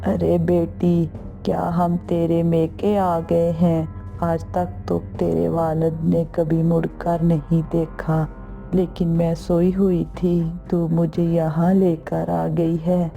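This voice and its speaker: A woman playing an elderly, affectionate mother-in-law in a cartoon story, gentle in manner. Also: on the low side, takes it slowly, vocal fry. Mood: puzzled. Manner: monotone, gentle. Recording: faint music behind the voice.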